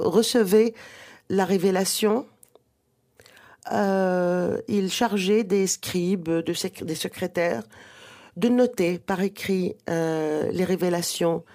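A woman's voice: on the low side, mellow. A woman whose first language is Arabic, a guest author explaining at length in a radio interview with many fillers and restarts.

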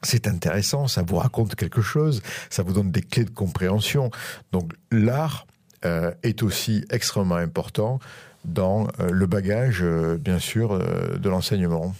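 Very deep hesitant French man. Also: unhurried, darkish tone. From France. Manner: hesitant, many fillers and restarts. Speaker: guest, school director. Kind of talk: interview on radio.

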